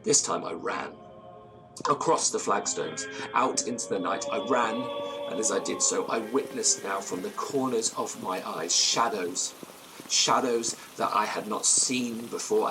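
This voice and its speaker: A man's voice: fairly low pitch, lightly acted, low-quality recording. Man acting a haunted man in gothic drama, over music. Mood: haunted, tense. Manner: halting, theatrical, literary phrasing. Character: haunted, frightened man confessing his supernatural torment. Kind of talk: gothic audio drama, first-person storytelling.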